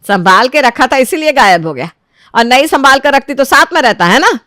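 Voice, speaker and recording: A woman's voice: high-pitched, animated, fairly quick, pushed and bright, dry recording, pitched above the performer's own voice. Older mother-in-law for a moral story, played by a woman, devout, commanding, easily exasperated, dry close sound. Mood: exasperated scolding. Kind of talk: Hindi moral story character acting.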